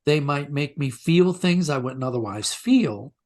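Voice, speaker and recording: A man, a writer being interviewed, talking reflectively and haltingly as he rephrases his thoughts. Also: on the high side, lively intonation, darkish tone.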